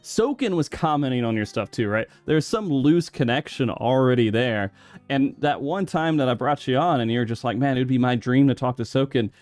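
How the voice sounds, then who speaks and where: A man's voice: mid-range voice, moderate pace, darkish tone, dry recording. A man in his thirties hosting a podcast, chatting casually, rambling and laughing often. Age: 30s. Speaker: podcast host.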